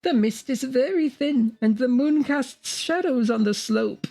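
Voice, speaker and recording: A proud, fanatical prophet as a woman reader acts him out, crying out in archaic, grandiose phrases. The voice is shrill and raised high, in a storybook horror style, with music playing underneath. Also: animated, measured pace, gravelly, mellow, full character voice. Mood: frenzied delight. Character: Barzai, proud fanatical male prophet, exultant, wildly shouting. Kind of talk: dramatic audiobook character voice.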